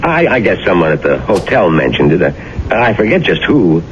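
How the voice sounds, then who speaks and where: An actor playing a charming young boarder in an old radio drama, friendly and folksy, a little evasive. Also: male voice, low voice, big pitch swings, normal speed. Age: young adult. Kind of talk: 1970s radio drama acting. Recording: dull old radio broadcast, faint hiss.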